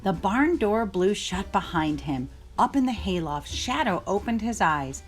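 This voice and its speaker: A woman's voice: medium pitch, very animated, unhurried, soft background music. A woman reading a children's story aloud as narrator.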